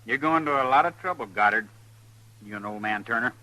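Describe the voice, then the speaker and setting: An actor playing a wary, stubborn cowboy on the run, plain-spoken with a rural Western American accent, in an old radio western. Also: male voice, mid-range voice, a little fast, pushed and bright, natural voice, low-quality recording. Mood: challenging. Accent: rural American West. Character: wary, stubborn cowboy fugitive wrongly wanted for murder. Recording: vintage radio, faint noise and hum.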